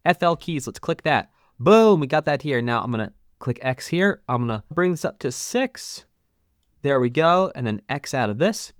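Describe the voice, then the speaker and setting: A man teaching as a channel host, casually narrating a step-by-step music software tutorial and talking through each click. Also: medium pitch, expressive, normal speed, no echo, studio quality.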